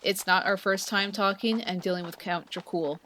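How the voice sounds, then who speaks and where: A woman's voice: mid-range voice, fairly even intonation, moderate pace, bright, forceful tone, a little hiss or hum, close to an everyday voice, poor audio. A woman playing a seasoned, friendly vampire hunter who mentors a newcomer in a conversational, teasing way in a fantasy audio roleplay. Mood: serious, reassuring. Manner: conversational, explanatory, playful teasing. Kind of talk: scripted fantasy audio roleplay addressed to the listener.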